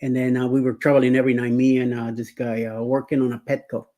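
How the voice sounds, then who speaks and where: A man's voice: mid-range voice, flat delivery, quick pace, dark tone. Chatty man in his sixties, rambling. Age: 60s. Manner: rambling, many fillers and restarts, laughs often. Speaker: show host. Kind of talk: casual live-stream chat.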